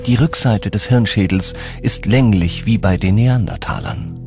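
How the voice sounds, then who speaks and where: A man's voice: fairly low pitch, sing-song, a little slow, gravelly. A man reading scripted documentary narration as the narrator. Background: background music.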